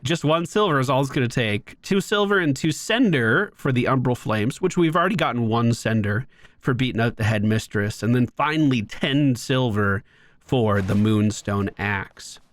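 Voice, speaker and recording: A man hosting a gaming video with playful, excited, casual commentary, joking along with the game. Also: mid-range voice, normal speed, no echo. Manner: playful, jokey, talks to the game.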